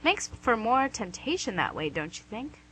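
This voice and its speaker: A woman's voice: on the high side, sing-song, normal speed, sharp tone. An actress playing the Devil as a playful, charming, smug female boss in a comic radio play, chatty and theatrical. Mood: boastful. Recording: noisy amateur recording with hum.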